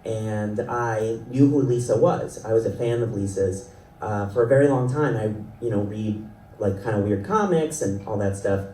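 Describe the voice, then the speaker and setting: A man's voice: lowish voice, moderate pace, warm tone. A man in his thirties from South Florida, speaking as a panelist at a festival in a rambling, self-deprecating way and laughing often. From South Florida, Ecuadorian family. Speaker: panelist, animation writer and creator. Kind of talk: panel discussion. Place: event panel hall. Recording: roomy sound from a distant mic, faint noise.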